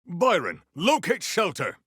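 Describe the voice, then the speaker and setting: A man voicing a stoic, honourable warrior in a video game, speaking in formal, stately phrases with a gravelly voice. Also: sits high, wildly swooping pitch, sharp tone, dry recording, clean studio sound, full character voice. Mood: commanding, fierce. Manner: formal, stately, measured diction.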